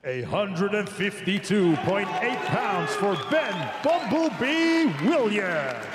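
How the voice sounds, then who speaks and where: Brief: male ring announcer, lively pitch, slow theatrical delivery, echoey. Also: over a noisy crowd. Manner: drawn-out showy name calls. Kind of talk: formal fighter introductions. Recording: echoey room.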